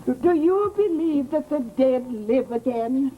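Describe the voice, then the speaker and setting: An actress in an old-time radio horror drama playing a nervous, chatty neighbour, fluttery, hesitant and emphatic. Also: female voice, high voice, animated, unhurried, phone-quality sound, a little hiss or hum. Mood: indignant, disbelieving. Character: nervous, chatty neighbour woman, disbelieving and increasingly frightened.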